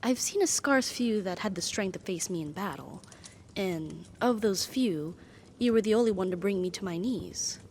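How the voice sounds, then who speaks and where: A powerful female demon, acted by a woman, addresses the listener in a stately, formal manner as a possessive and devoted servant. Her voice sits at an average female pitch, with breathy touches in an intimate ASMR roleplay. There is clear noise in the recording. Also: expressive, normal speed, darkish tone, close to an everyday voice. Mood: proud, devoted.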